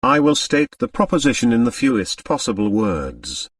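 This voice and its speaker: A man's voice: medium pitch, huge pitch swings, moderate pace, gravelly, no echo, studio quality, recorded from a distance, exaggerated voice. Audiobook role: reserved, matter-of-fact male emissary read by a man, careful and formal, recorded a little off-mic. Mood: formal, curt. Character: stolid, formal, embarrassed male go-between.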